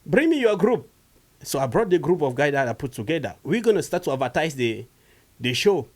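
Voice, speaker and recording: Brief: man from Cameroon, comedian guest, animated storyteller, slightly higher voice. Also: brisk. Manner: animated, rising pitch when retelling stories. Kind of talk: casual storytelling interview.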